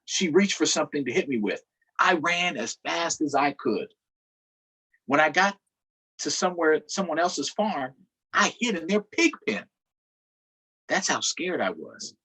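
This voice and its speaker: A man with a slightly husky voice hosts a children's storytime, reading a picture book aloud. His delivery is expressive, with a lively pitch that rises and falls, and he pauses to ask the kids questions. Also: low-quality recording. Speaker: councilmember hosting a virtual storytime.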